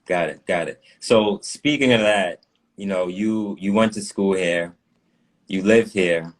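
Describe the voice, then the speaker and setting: Deep-voiced man hosting an interview. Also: a little slow. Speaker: interview host, school staff.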